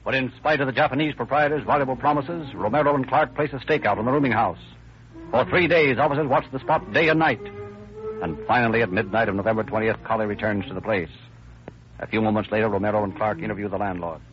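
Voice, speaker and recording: An actor serving as the brisk, earnest American narrator of an old-time radio crime drama. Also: male voice, lowish voice, soft background music, lightly acted. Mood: earnest, dramatic. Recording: muffled old radio recording.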